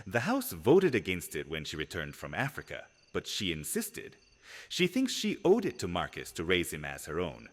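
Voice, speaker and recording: An actor playing a concerned, reproving vampire confidant in an audio roleplay, measured and hushed, swelling into emphasis. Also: male voice, low voice, huge pitch swings, long reverb tail, heavily acted. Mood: grave, hesitant. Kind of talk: dramatic audio roleplay acting.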